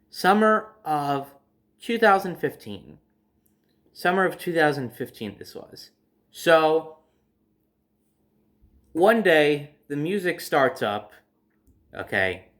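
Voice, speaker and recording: A young man recounting stories in a loose, rambling way, swearing often and circling back with "so". His pitch is mid-range and his delivery sounds everyday and unscripted. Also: a bit bright. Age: young adult. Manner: rambling, profane, starts lines with "so". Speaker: storytime vlogger. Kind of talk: casual storytelling.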